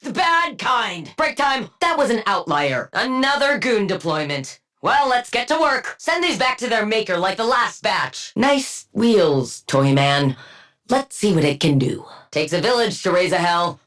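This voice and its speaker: An actress playing a brilliant, arrogant, sardonic supervillain scientist in a video game, precise and condescending, with dry wit. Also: female voice, medium pitch, sing-song, unhurried, fairly bright tone. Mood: wry, brisk. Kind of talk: video game character voice lines.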